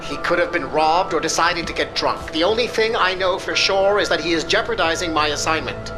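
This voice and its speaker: An actor playing a snarky, self-important American tech expert in a video game, with a precise, sardonic delivery. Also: male voice, fairly high pitch, fairly quick, lightly acted. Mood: businesslike. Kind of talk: video game cutscene acting. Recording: loud music behind. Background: music.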